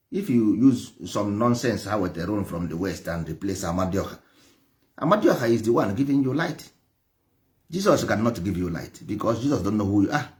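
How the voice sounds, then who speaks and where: A man with an Igbo Nigerian accent and Igbo as his first language, preaching and lecturing with passion, stressing points through repetition and rhetorical questions. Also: fairly low pitch. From Nigeria, Igboland. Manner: emphatic, repeats phrases, rhetorical questions. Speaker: traditional religion teacher.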